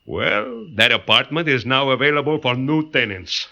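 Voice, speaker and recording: A man's voice: medium pitch, expressive, normal speed, creaky, bright, forceful tone. An actor playing a tough, sardonic crime-story man, blunt and wisecracking, in 1940s radio noir style. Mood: wry. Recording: muffled old 1940s radio recording.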